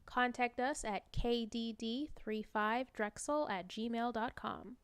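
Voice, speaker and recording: A woman's voice: a little high, takes it slowly, clean tone. A woman who writes for radio drama, reading a scripted promotional announcement.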